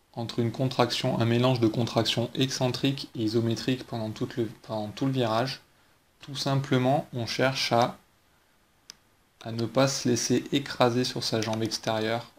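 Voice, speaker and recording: A man coaching skiing, talking calmly over slow-motion footage and walking through each movement with rhetorical questions. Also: mid-range voice. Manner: patient, explains step by step with rhetorical questions. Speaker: ski coach.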